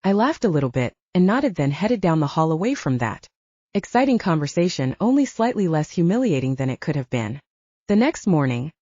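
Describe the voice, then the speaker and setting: A woman's voice: low-pitched, warm tone, no room sound, lo-fi sound. A woman reading first-person fiction as an audiobook narrator.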